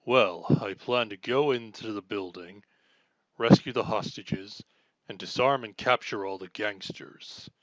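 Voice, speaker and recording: A man's voice: on the low side, unhurried, no echo, muffled recording, natural voice. A man playing an earnest, chivalrous armored superhero swordsman in an audio roleplay, speaking in formal, archaic, self-assured phrases. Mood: confident, reassuring. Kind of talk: scripted audio roleplay acting.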